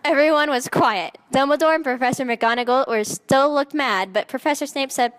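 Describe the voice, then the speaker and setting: High-pitched woman reading mock-dramatically. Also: normal speed, clear, resonant tone. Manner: giggly, corpsing at the text. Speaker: narrator and reader. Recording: long echoing reverb.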